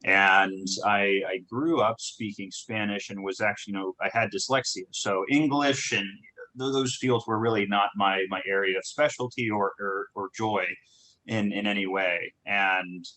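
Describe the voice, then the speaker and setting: A man from Southern California, a startup founder telling his background in a relaxed talk, with many restarts and fillers. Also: on the low side, moderate pace, a little hiss or hum, low-quality recording. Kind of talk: conversational talk on a group call.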